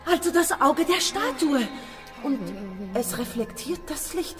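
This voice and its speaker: A woman's voice: high-pitched, animated, measured pace, long reverb tail. An actress playing a bold, inquisitive female adventurer in a radio drama, speaking theatrically with urgency. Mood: musing. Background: music.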